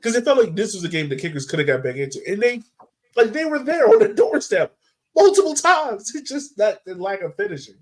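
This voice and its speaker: Higher-voiced man hosting casual soccer chat. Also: sing-song, brisk. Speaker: soccer podcast host.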